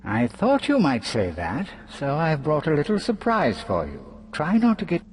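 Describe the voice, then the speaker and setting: An actor playing a gleeful, mocking trickster villain in a video game, taunting with drawn-out theatrical sarcasm. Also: male voice, fairly high pitch, huge pitch swings, slight background noise. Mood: amused condescension.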